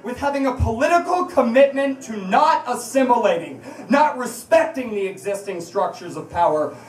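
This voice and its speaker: A woman's voice: a little high, lively intonation, normal speed, darkish tone, slight background noise. A woman performing an impassioned spoken-word piece to a live audience in a bar, emphatic and confrontational, with rhetorical repetition. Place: indoors at a bar, live event. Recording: echoey bar room, distant microphone.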